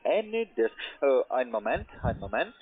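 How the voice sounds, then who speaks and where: A man on a phone call, a scammer speaking halting, broken German. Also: mid-range voice, very animated, slightly rough. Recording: tinny phone line.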